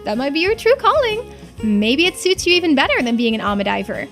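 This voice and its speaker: A woman plays a perky young girl from a game, high and very animated. She is cheeky, bubbly and informal, with music behind her. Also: brisk, full character voice. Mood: breezy, cheerful.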